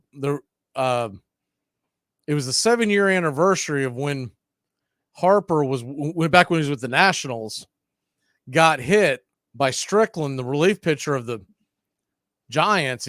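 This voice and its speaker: A man calling a live baseball game on radio, chatting and telling side stories between pitches. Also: fairly bright tone, dry recording, clean studio sound. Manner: chatty, tells side stories and laughs at them. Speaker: radio play-by-play announcer. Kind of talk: live baseball play-by-play with casual chat.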